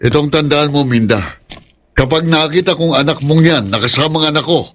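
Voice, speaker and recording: A man playing an angry, vengeful father in a Filipino radio horror drama, speaking forcefully and with threat. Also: on the high side, normal speed, slight background noise, natural voice. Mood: furious. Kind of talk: melodramatic radio drama acting.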